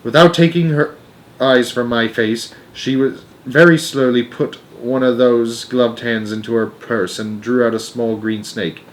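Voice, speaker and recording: A man's voice: mid-range voice, fairly even intonation, measured pace, a little hiss or hum, low-quality recording. Man reading a children's story aloud. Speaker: storybook narrator. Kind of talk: expressive read-aloud narration of a children's novel.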